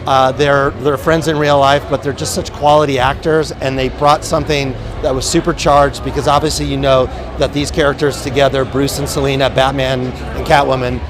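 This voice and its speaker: A man, a film producer, speaking excitedly in a red carpet interview, with frequent fillers and hesitations. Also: mid-range voice. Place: outdoor premiere red carpet. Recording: outdoor premiere with crowd and music.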